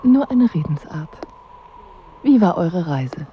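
An actress playing a languid, coolly ironic royal wife in a radio drama, with dry and formal diction. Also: female voice, lowish voice, sing-song, slow pace, dull audio, slight background noise. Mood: evasive, wistful. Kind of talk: literary radio drama acting.

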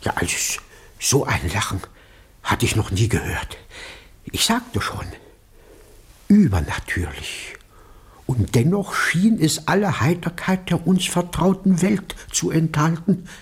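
An actor playing an eccentric, awed eyewitness in a German radio drama, telling of a ghostly sighting haltingly and theatrically, with breathy hushed passages. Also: male voice, fairly high pitch, very animated, whispered, full character voice. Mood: hushed awe.

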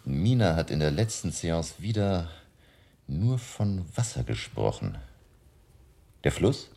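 An actor in a German radio drama, playing a grave, resolute professor who leads a vampire hunt. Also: male voice, deep voice, sing-song, takes it slowly, creaky, dark tone, exaggerated voice. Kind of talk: German radio play acting.

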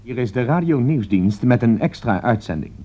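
A man playing a serious radio newsreader in a radio drama, delivering urgent bulletins in a formal, measured manner. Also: medium pitch, lively intonation, mellow, natural voice. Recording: dull lo-fi old radio recording.

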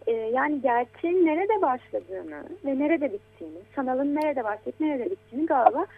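An adult woman talking conversationally as a programme guest, encouraging and gently explaining things as if to a child. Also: sits high, lively intonation, brisk, gravelly. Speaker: guest commentator on children's media. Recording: tinny, phone-like narrow band.

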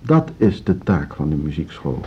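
An actor playing a courtly, sentimental older music teacher in a radio drama, husky and savoring his words. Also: rumbling low, big pitch swings, moderate pace, heavily acted. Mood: rapturous, wistful. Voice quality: husky, raspy. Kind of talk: Dutch radio drama acting. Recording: dull radio recording with piano music.

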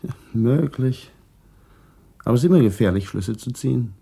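A reflective middle-aged policeman in a radio crime play. His voice is mellow and husky with some creak, and he talks in a measured, guarded manner. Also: medium pitch, lively intonation. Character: calm, reflective Papuan-Dutch traffic policeman being questioned. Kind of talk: German radio crime drama acting.

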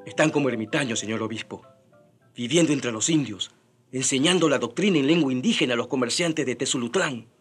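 An actor playing a deferential informant making a report in a radio drama. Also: male voice, medium pitch, fairly quick, fairly bright tone, faint music behind, close to an everyday voice. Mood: disapproving.

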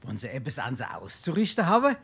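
Wildly swooping Hessian sponger, Datterich. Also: male voice, medium pitch, wildly swooping pitch, fast, fairly bright tone, slightly rough, heavily acted. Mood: jovial, wheedling. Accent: Hessian, Darmstadt dialect. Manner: theatrical, swinging between mutters and exclamations. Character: Datterich, shameless wheedling penniless sponger and tippler. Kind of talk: dialect stage comedy acting.

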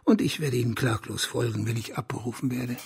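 An actor playing a bitter, proud last heir of a declining family in a radio crime drama, scornful and insinuating, sometimes dropping to a breathy murmur. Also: male voice, mid-range voice, fairly quick, lightly acted. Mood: resigned. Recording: music behind the voice.

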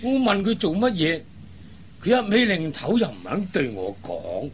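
A man's voice: on the high side, huge pitch swings, normal speed. A man playing a worried, hesitant elderly father in a radio drama, often sighing. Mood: puzzled, troubled. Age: older. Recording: faint background noise.

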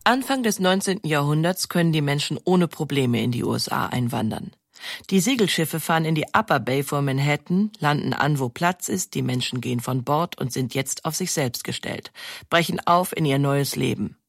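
A narrator reading an informative documentary script in a clear manner. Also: mid-range voice, no room sound, studio quality.

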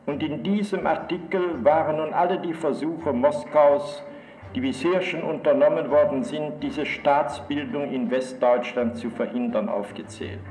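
Male speaker, slightly high-pitched, formal account, echoey room. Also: measured pace, a little mellow.

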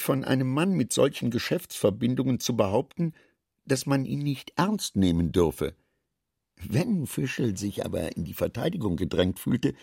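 A man narrates a literary radio play from a script. His voice is husky and gravelly, recorded close and dry. He reads long sentences in a measured way, with lively, expressive intonation. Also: medium pitch, no echo.

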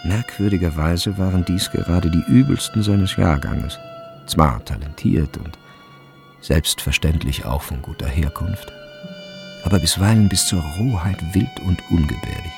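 A man narrating literary prose in a radio drama, measured and reflective. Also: low voice, lively intonation, creaky. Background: music.

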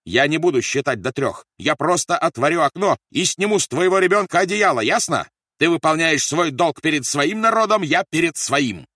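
Overwrought Nazi interrogator played by a male reader, barking threats at raised pitch, audiobook drama. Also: lively intonation, normal speed, fairly bright tone, breathy edge, dry recording, clean studio sound. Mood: furious shouting. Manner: commanding, menacing, barking orders.

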